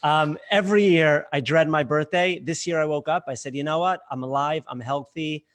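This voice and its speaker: A man's voice: fairly high pitch, normal speed, fairly bright tone. A man in his thirties, a rabbi co-hosting a podcast, speaking sincerely and reflectively with hesitant fillers. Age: 30s. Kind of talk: unscripted podcast discussion.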